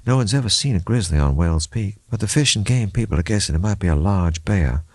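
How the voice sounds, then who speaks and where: A man voicing a gentle female officer in an audiobook, careful and hesitant as someone bearing grim news. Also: low voice, expressive, a little fast, dry recording, clean studio sound, pitched above the performer's own voice. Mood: earnest, uneasy. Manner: careful, hesitant, groping for words. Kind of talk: audiobook character acting.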